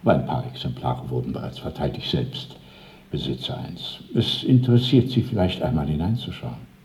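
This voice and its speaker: An actor playing a courteous, calculating senior party official, speaking formally and deliberately in a radio drama. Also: male voice, extremely low pitch, big pitch swings, darkish tone. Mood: smoothly courteous. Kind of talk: German radio drama acting. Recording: dull, roomy old radio drama recording.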